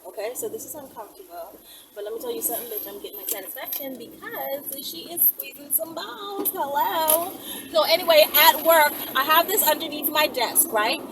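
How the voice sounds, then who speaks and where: A woman with a fairly high voice talks in a loose, rambling vlog style. She is brash and playful and swears freely. The mic sits some way off, and there is clear noise behind her. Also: normal speed, distant mic. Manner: brash, playful, foul-mouthed. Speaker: video creator. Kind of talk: casual rambling vlog chat to viewers.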